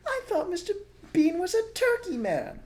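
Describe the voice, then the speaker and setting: A man voicing an excitable young male fox cub, squealing and gasping with glee. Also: extremely high pitch, moderate pace, pitched above the performer's own voice, heavily acted. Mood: curious.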